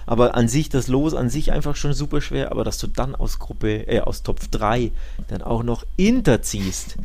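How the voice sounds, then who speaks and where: A man's voice: mid-range voice, normal speed, vocal fry, darkish tone. A man co-hosting a football podcast, discussing casually with emphatic, colloquial opinions. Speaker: podcast co-host.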